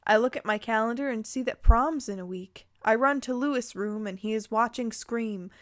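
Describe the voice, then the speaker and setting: Female reader-commentator, high-pitched and expressive, casual amused asides, very close dry sound. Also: moderate pace, no room sound. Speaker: host reading fan fiction aloud with commentary. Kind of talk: informal reading with reactive asides.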